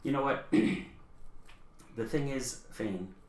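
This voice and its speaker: A man's voice: mid-range voice, takes it slowly. A man giving his opinions as a talk show panelist, blunt, insistent and argumentative in political discussion. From the Bahamas, Canadian Bahamian. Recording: echoey room.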